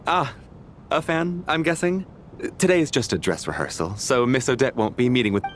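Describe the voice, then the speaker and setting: A man's voice: medium pitch, moderate pace, a little hiss or hum, close to an everyday voice. An actor voicing a polite, apologetic male theater staff member in a game cutscene.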